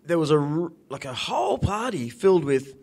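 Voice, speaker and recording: A man's voice: a little high, sing-song, normal speed, gravelly, darkish tone. A man hosting a podcast, rambling and stumbling over his words. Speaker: podcast host. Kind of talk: casual interview chat.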